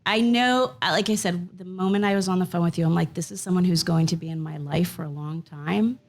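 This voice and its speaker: A woman's voice: on the low side, brisk. Chatty woman podcast host. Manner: warm, laughing, chatty. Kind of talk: casual interview chat.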